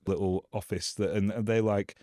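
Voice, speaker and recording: Young northern english bloke, low voice, chatty and rambly, loads of "like". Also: male voice, normal speed, dry recording, studio quality. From Yorkshire, England. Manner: relaxed, rambling with many fillers and restarts. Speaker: guest. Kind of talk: casual interview chat.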